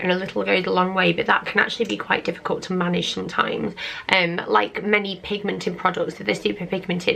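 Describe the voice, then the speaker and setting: Husky British woman with heavy vocal fry. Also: medium pitch, fairly bright tone. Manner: laid-back, rambling, chatty. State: getting over a cold. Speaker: beauty vlogger. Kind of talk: casual chatty make-up tutorial.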